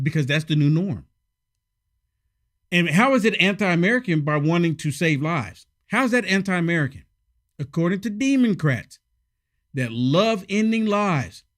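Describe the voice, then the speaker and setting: A man hosting casual political commentary, sarcastic and emphatic, a little hoarse from a head cold. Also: fairly high pitch, fairly bright tone.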